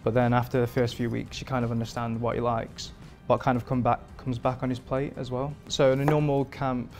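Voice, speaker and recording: Relaxed English chef, flat low-key voice. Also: male voice, a little fast, over music. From England. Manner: relaxed, rambling with many fillers. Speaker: performance chef. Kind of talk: interview answers. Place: modern home kitchen indoors.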